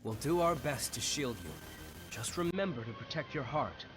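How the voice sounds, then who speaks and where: A man's voice: mid-range voice, expressive, moderate pace, close to an everyday voice. Video game lead, male actor: resolute young leader, medium register, sincere and grave. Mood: steady. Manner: solemn, determined. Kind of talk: dramatic video game voice acting. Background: game soundtrack music.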